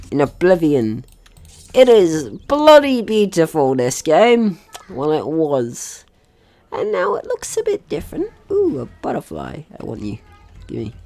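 Male gaming host, very lively intonation, casual and playful running commentary, mild swearing. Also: on the high side, creaky. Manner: playful, swearing, talks to game characters. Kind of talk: casual let's-play commentary.

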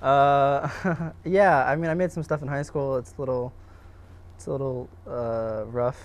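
A man's voice: medium pitch, fairly even intonation, measured pace. A young man, a student filmmaker, answering interview questions casually in a hesitant, self-deprecating way. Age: young adult.

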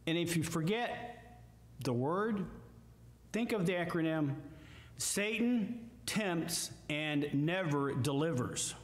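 An older man with a Midwestern American accent, a guest preacher giving a slow, emphatic sermon with scripture readings from a church lectern. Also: a little high, animated. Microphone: lectern mic, far camera. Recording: echoey church hall from far off.

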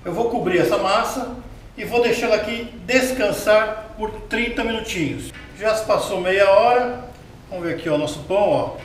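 A man with a Brazilian Portuguese accent hosts a home cooking lesson, chatty and patient, asking the viewer what comes next as he works. His voice sits fairly high. It rings around a hard-walled kitchen and is picked up from a distance. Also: normal speed, a little hiss or hum. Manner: chatty, explains step by step, asks the viewer questions. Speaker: cooking show host. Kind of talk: casual cooking demonstration. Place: home kitchen with tiled wall, indoors. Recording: roomy kitchen sound from a distance.

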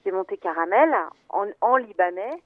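A woman's voice: mid-range voice, expressive, normal speed, no echo. Woman on a tinny phone line. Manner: hesitant, many fillers and restarts, thoughtful. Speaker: film editor, interview guest. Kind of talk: interview, explaining her work.

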